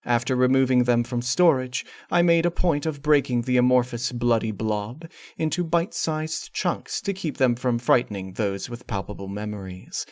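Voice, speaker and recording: A man reading a horror story as a dramatic narrator, with measured, formal and deliberate phrasing. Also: mid-range voice, darkish tone, no room sound. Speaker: narrator of a horror fan fiction.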